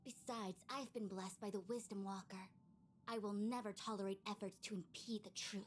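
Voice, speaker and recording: A woman's voice: medium pitch, steady tone, moderate pace, slight background noise, lightly acted. An actress playing a composed, authoritative young woman seer in a video game, speaking formally and solemnly. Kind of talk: scripted game voice acting.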